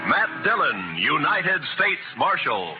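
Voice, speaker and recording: A man's voice: fairly high pitch, sing-song, slow pace, phone-quality sound. A man announcing a 1950s radio drama in a solemn, emphatic scripted delivery. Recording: thin 1950s radio recording with music.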